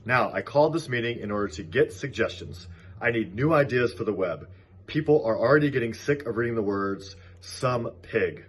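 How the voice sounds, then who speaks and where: A man voicing a bossy, clever female spider in a storybook, brisk and articulate. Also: medium pitch, lively intonation, slight background noise, lightly acted. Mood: businesslike. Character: bossy, dry, clever female spider leading barn animals.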